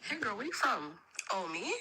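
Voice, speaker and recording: A woman's voice: mid-range voice, very animated, normal speed, music in the background, rough recording. A woman in a played meme clip, speaking in a playful sing-song way.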